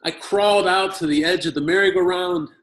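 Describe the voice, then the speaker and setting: An American man from Chicago telling a personal story at a conference, speaking in short phrases and pausing for his interpreter. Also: sits high, steady tone, fairly bright tone. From Chicago, United States. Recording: roomy echo.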